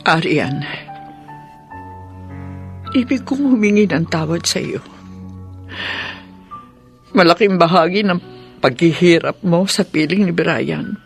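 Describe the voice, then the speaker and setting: Radio drama older mother-in-law: Filipino, contrite, very expressive intonation, halting delivery, soft music behind. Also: lowish voice, creaky, full character voice. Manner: halting, pleading, emotional. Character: remorseful older mother-in-law pleading for forgiveness.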